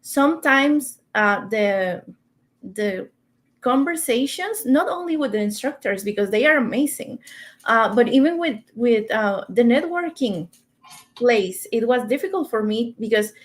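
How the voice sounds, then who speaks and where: A woman from Mexico with a Mexican accent speaks English as her second language in a fairly high voice. She talks earnestly and stops now and then to search for her words as a guest in a casual online interview. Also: lively intonation, measured pace. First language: Spanish. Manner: earnest, searching for words, small grammar slips.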